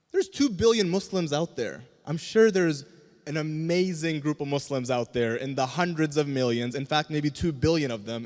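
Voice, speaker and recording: A man's voice: medium pitch, darkish tone. A man from Canada speaking conversationally as a panelist, introducing himself to a live event. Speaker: panelist, comedian. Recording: echoey hall with long reverb.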